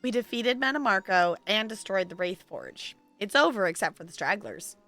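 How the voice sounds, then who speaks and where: Bright, animated woman streaming a game. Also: medium pitch, moderate pace, soft background music. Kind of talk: casual playthrough commentary, reading game replies aloud.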